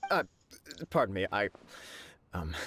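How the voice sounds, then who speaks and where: A man's voice: sits low, very animated, a little slow, fairly bright tone, slight background noise, heavily acted. A man acting as an earnest, modest young samurai commander in a video game, with a formal, self-effacing way of talking. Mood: flustered.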